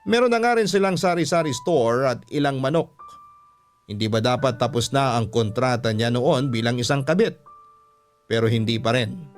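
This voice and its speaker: A man narrating a story in the first person as a radio storyteller, measured and reflective. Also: mid-range voice.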